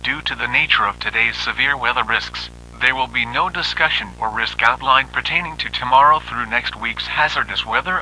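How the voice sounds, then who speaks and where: Very sharp-toned male weather bulletin announcer. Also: medium pitch, slight background noise, rough recording. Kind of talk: formal scripted weather bulletin reading.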